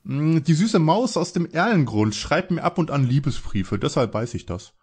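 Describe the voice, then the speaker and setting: A man's voice: a little high, big pitch swings, normal speed, darkish tone, slight background noise. A man playing a sheepish, whiny young detective in a parody detective audio drama, casual and grumbling. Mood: helpful.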